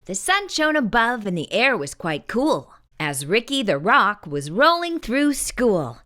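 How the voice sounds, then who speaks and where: A woman hosting storytime, narrating a children's picture book in an animated read-aloud style. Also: on the high side, unhurried. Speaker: storytime host and reader.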